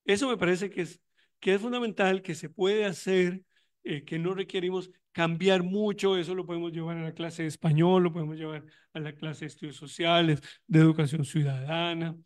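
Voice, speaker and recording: Hombre costarricense de voz aguda, reflexivo. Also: male voice, fairly high pitch, steady tone, moderate pace. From Costa Rica. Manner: thoughtful, many restarts and fillers, reflective. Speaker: panelist on migration in education; university educator running tutoring programs. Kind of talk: academic conference talk.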